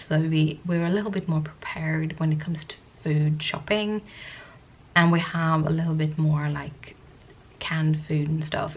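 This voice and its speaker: A woman vlogger with a sniffly cold, chatting to the camera in a soft-spoken, rambling, hesitant way. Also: low-pitched, highs cut off. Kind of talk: casual talking-to-camera vlog.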